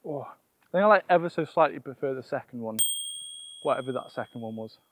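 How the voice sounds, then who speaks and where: A man's voice: medium pitch, expressive, brisk, slight background noise. A young man presenting a casual gardening vlog outdoors, explaining things and often thinking aloud. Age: young adult.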